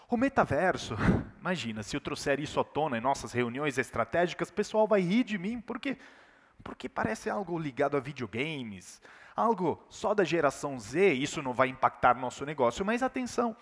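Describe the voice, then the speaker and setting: Animated Italian-accented man in a hall. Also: on the high side. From Italy. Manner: emphatic, rising and falling delivery with rhetorical questions. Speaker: keynote speaker. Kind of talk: business keynote talk. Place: large conference hall. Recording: reverberant hall.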